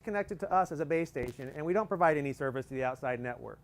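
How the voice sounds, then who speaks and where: A man's voice: fairly high pitch, expressive, quick pace. A man in his thirties presenting a live equipment demonstration outdoors, explaining step by step in a casual, joking way with plenty of fillers. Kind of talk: live technical demo talk. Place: outdoor tent at a desert field demo.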